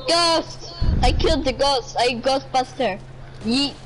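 A woman with a high voice chatting as a teammate in a game, making playful, goofy quips. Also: slow pace, noisy background. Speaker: teammate in voice chat. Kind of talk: casual game voice chat.

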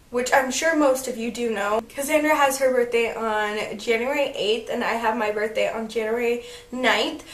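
A chatty young woman in her twenties talks through her makeup routine in a fairly high voice, her tone slightly croaky as she gets over a cold. She is recorded at home on a camera mic, and the room gives the sound a noticeable echo. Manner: chatty and upbeat, narrating each step. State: slightly croaky, recovering from illness. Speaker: beauty vlogger. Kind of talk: casual makeup tutorial chat. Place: bedroom at home, indoors. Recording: echoey room.

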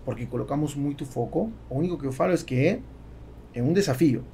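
Argentine man with a gravelly voice. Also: medium pitch, quick pace, warm tone, a little hiss or hum. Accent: Argentine Spanish. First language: Spanish. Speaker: podcast guest, entrepreneur. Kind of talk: conversational interview. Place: small foam-treated podcast studio. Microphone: close podcast microphone on boom arm.

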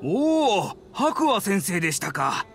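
An actor playing a courteous, earnest, apologetic editor in a Japanese anime game, very polite and deferential. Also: male voice, high-pitched, very animated, slow pace, faint music behind, full character voice. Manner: very polite, deferential business speech.

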